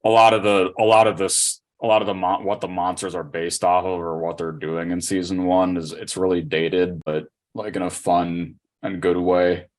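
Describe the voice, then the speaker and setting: A man's voice: deep voice, level tone, moderate pace, hardly any pauses. A man chatting casually about anime as a podcast guest, in an informal conversational style.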